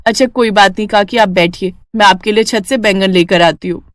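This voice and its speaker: A woman's voice: mid-range voice, fairly quick, dry recording, clean studio sound, close to an everyday voice. A woman voicing a thrifty, polite young daughter-in-law in a cartoon story. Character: thrifty, hard-working young daughter-in-law, earnest and kind.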